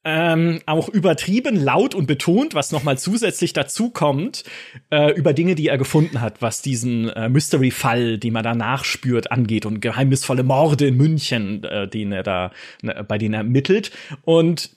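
A man from Baden with a Baden German accent, co-hosting a podcast and telling game anecdotes in an animated way, laughing often. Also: medium pitch, moderate pace, breathy edge. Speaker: podcast co-host. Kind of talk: casual podcast chat.

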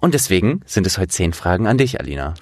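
A man in his thirties chatting casually as a podcast interviewer, with a jovial, animated manner. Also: low voice, fast, dry recording, studio quality.

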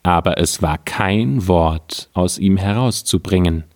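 Slow, calm male fairy-tale reader. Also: deep voice, dry recording, studio quality. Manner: calm, measured storytelling. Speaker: storyteller. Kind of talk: reading a fairy tale aloud.

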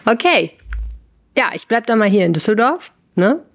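A woman's voice: fairly high pitch, very animated, moderate pace. A German woman hosting a podcast interview, asking curious, encouraging questions. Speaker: podcast host.

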